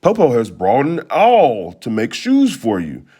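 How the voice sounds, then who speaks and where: A man acts out a cunning old wolf from a storybook, passing himself off as a kindly grandmother. The words are slow and coaxing, with pitch that swoops widely. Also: huge pitch swings, dark tone, slightly rough, exaggerated voice. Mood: feigned weariness.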